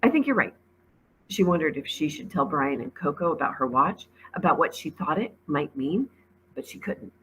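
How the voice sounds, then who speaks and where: A woman's voice: deep voice, normal speed, fairly bright tone, slight background noise, poor audio. A woman, a teacher, reading a children's novel aloud as narrator. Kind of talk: storybook read-aloud narration.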